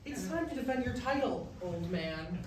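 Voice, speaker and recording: A man's voice: high-pitched, expressive, moderate pace, background noise. Man as an earnest young grandson, very echoey recording. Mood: playful, teasing. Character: young male college student, wounded, teasing grandson. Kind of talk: staged musical reading, part sung, part spoken. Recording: echoey room, far mic, music behind.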